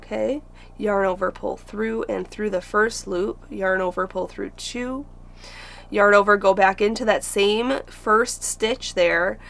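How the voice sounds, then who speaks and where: Slow, gravelly-voiced woman crochet teacher. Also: medium pitch, fairly bright tone. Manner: patient, counts stitches aloud. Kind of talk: step-by-step crochet tutorial.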